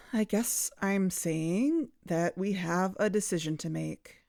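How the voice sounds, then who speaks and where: A woman in scripted audio drama dialogue, earnest and worried, sometimes rushing her words. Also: fairly low pitch, creaky, a little mellow, no echo, studio quality. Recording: music bed underneath.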